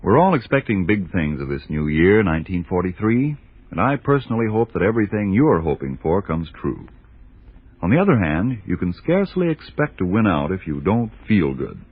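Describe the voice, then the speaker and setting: A man makes a persuasive 1940s radio sales pitch. His voice is husky and very lively in pitch, heard through a tinny old broadcast. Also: moderate pace. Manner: folksy, persuasive. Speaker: radio commercial announcer.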